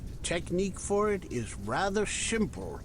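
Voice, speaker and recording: A man acts out a chatty video game merchant of the musket era. His voice sits a little high for a man and moves up and down a lot. He lays out his wares slowly and helpfully, with faint noise behind him. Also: sing-song. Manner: unhurried, conversational salesman patter with dramatic pauses. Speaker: game shopkeeper character.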